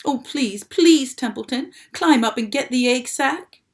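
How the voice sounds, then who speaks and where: A woman reading aloud and voicing a frantic young male pig who pleads in a high, loud, desperate voice. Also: lively intonation, measured pace.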